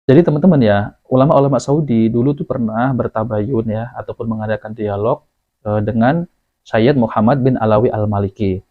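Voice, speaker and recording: A man's voice: mid-range voice, moderate pace. An Indonesian man giving informal religious commentary as a host, repeating himself and often tagging phrases with a short filler. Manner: repetitive, often tags phrases with "ya". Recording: dull lo-fi audio.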